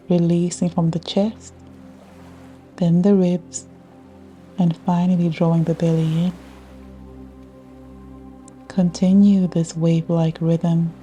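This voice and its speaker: A woman guiding a scripted yoga nidra meditation in a soothing, measured way with long pauses. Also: fairly low pitch, mellow. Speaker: meditation guide. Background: ambient music with ocean sounds.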